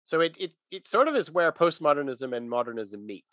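A man's voice: fairly high pitch, big pitch swings, fairly quick, fairly bright tone, dry recording, muffled recording. A man talking through religious ideas in a casual, conversational but emphatic teaching manner.

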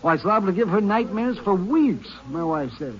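A talkative small-town druggist rambles on in a high, folksy voice. The old radio recording sounds dull and lo-fi. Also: male voice, animated, normal speed. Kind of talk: 1950s radio drama acting.

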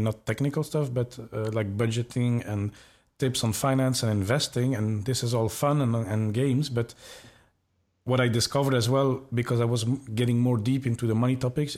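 A man's voice: mid-range voice, darkish tone. A man from Belgium, hosting a podcast interview, friendly, with many fillers and self-corrections. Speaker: podcast host.

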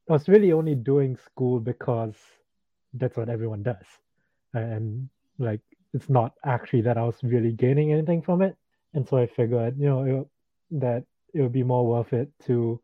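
A man's voice: medium pitch, dry recording. A young man from Singapore, a freelance guest, talking casually with lots of "like" and "you know". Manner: casual, many fillers like "like" and "you know", easy laughs. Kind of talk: casual interview chat. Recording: tinny phone-like remote line, dry.

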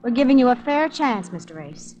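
A woman playing a cool, menacing young gunwoman in a 1940s radio drama, controlled and softly threatening. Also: fairly high pitch, sing-song, brisk, muffled recording. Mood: controlled threat. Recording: noisy old radio recording.

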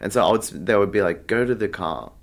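An Australian man chatting casually as an interview guest, telling stories in a rambling, halting way full of filler "like". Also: fairly low pitch. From Australia, Sydney. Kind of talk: casual anecdotal chat.

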